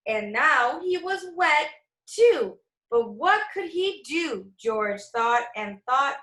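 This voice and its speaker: A woman reading a children's picture book aloud as a storyteller, expressive and teacherly, with asides to young listeners. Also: sits high, takes it slowly. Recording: echoey room.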